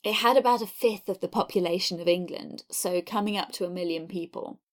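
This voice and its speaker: A woman's voice: lowish voice, fairly quick. A woman hosting a history podcast, telling it in a scripted yet conversational and enthusiastic way. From not Scotland.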